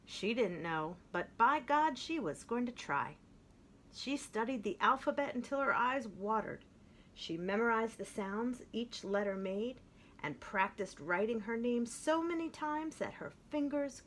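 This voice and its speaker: A woman reading a children's picture book aloud in an expressive way, slowing down to stress key phrases. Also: mid-range voice, a little hiss or hum. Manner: expressive, with slowed emphatic phrases. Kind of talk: storybook read-aloud.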